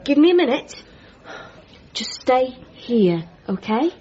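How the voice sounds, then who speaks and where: A woman's voice: sits high, sing-song, takes it slowly, dark tone, slightly rough, muffled recording, exaggerated voice. An actress playing a worried, exasperated woman doctor pleading with someone she loves, in a radio comedy drama. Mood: concerned. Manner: exasperated, concerned. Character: worried, pleading female doctor and loved one.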